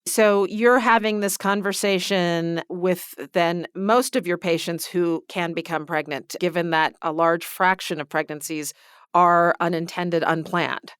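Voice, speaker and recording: Smooth, clear-voiced woman podcast host. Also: fairly low pitch, fairly even intonation, a little slow, a bit bright, no room sound, studio quality. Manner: clear, structured, recapping what was said. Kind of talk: interview questions and summaries.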